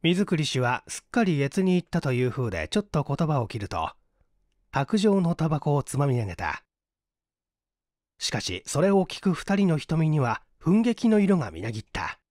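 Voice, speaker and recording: An audiobook narrator reading literary fiction expressively, with measured, careful diction. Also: medium pitch, sing-song, darkish tone, no echo, studio quality.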